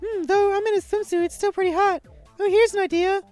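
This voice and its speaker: A woman's voice: very high-pitched, steady tone, a little fast, darkish tone, no room sound. A woman voicing a cheerful, ditzy young rabbit-eared schoolgirl in a visual novel, with a cutesy, girlish delivery. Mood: breezy. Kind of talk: visual novel character dubbing. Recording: music behind the voice.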